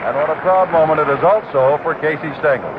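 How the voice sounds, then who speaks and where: A man calling a baseball game on the radio, briskly reading out the lineups. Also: fairly high pitch, over a noisy crowd, very noisy. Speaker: radio play-by-play announcer. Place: stadium broadcast booth. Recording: tinny 1969 AM radio broadcast.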